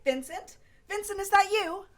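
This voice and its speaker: Radio drama actress as gullible grieving seance-goer, extremely high voice, breathless, pleading. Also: female voice, normal speed, a bit bright, soft background music. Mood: anxious longing. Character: tearful, gullible bereaved woman at a seance. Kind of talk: radio play acting.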